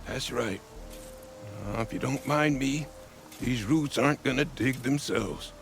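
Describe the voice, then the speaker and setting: A gravelly, grumbling old digger. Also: male voice, medium pitch, unhurried, soft background music. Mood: fond. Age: older. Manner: blunt, folksy, grumbling. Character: grumbling older male digger, blunt and folksy.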